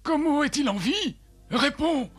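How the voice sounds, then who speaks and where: Very high-voiced, grave cartoon doctor. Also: male voice, lively intonation, a little slow. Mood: mournful. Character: gloomy, caring male village doctor. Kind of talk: animated film dubbing. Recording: music behind the voice.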